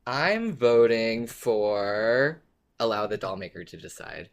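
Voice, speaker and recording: A podcast co-host chatting casually and enthusiastically about a favourite story, quick to giggle. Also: mid-range voice, animated. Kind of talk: casual fan podcast discussion.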